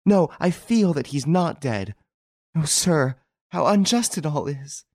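A distraught young woman, high and trembling, sobbing and pleading in a storybook tale, as a male narrator puts her on. Her voice swings wildly and sits close and dry on the mic. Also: very animated, slow, mellow, husky, no room sound, exaggerated voice. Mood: sobbing despair.

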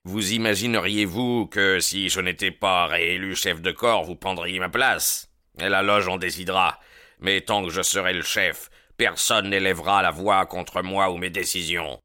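Man voicing a forceful, domineering lodge boss. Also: deep voice, steady tone, moderate pace, no room sound, clean studio sound. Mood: angry. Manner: commanding, blustering, hearty. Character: domineering male lodge boss, menacing yet heartily jovial.

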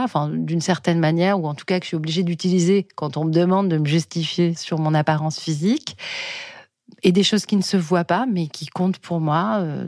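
A woman's voice: low-pitched, a little mellow, no room sound, studio quality. A young woman, telling personal stories in a casual, reflective, informal way. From Paris suburbs, France. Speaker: podcast participant telling personal story.

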